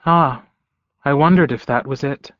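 A man voicing a serene, perceptive motherly female werewolf in an audiobook, speaking calmly and knowingly with deliberate phrasing. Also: medium pitch, no echo, muffled recording, natural voice. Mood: gentle probing.

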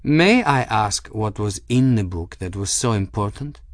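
A man reads as a cautious military officer in an audiobook story. He speaks with formal courtesy and careful hesitation at a measured, slow pace. The recording is very dry. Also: fairly low pitch, expressive, dry recording. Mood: deferential, formal. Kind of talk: audiobook character acting.

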